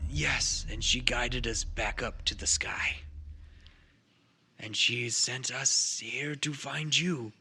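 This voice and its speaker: A man voicing a weary captive lizardfolk uncle in a tabletop game, faltering in a breathy half-whisper. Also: mid-range voice, steady tone, slow pace, bright, forceful tone, heavily acted. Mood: weary, grave.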